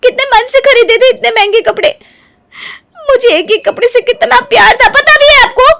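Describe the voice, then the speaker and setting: A woman voicing an indignant, wounded young wife in a melodramatic family story, shrill, plaintive and emphatic. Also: moderate pace, pushed and bright, full character voice. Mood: distraught, accusing. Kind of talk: melodramatic Hindi story dialogue.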